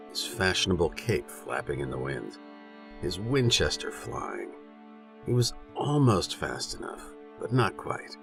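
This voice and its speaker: A man reads literary western fiction as an audiobook narrator, with a very deep, gravelly voice. His delivery is measured and dramatic, with lively rises and falls in pitch, over faint music.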